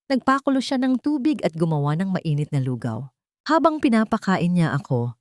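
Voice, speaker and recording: Woman, audiobook storyteller, emotional, low-pitched, dry studio sound. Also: darkish tone, no room sound. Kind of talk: first-person dramatic audiobook narration.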